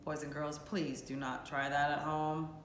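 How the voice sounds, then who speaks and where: A woman's voice: sits low, flat delivery, measured pace, slight background noise. A woman reading a children's storybook aloud like a teacher, expressively and speaking directly to young listeners. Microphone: camera or phone a few feet away. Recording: echoey room.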